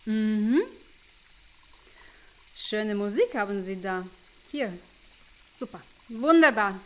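A woman's voice: medium pitch, animated, slow pace. A woman care worker making casual chat in short reassuring phrases. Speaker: care worker in a nursing home.

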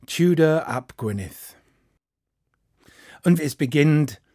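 A man's voice: a little high, lively intonation, slow, dark tone, no echo, studio quality. A man telling a folk story in to a live audience, chatty and playful with asides. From Wales. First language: English. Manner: chatty, playful asides, non-native grammar.

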